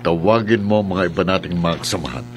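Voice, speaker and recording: A man's voice: deep voice, animated, fast talker, slight background noise. A man playing a grim, commanding police chief in a radio drama. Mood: grim, decisive. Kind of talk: Filipino radio drama acting.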